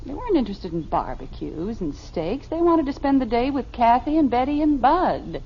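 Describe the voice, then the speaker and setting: Lively, patient radio-sitcom wife. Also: female voice, a little high, normal speed, husky, heavily acted. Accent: American. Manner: placating, dryly teasing. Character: patient, sensible, gently amused wife and mother. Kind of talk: 1950s radio comedy acting. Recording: dull, noisy old radio recording.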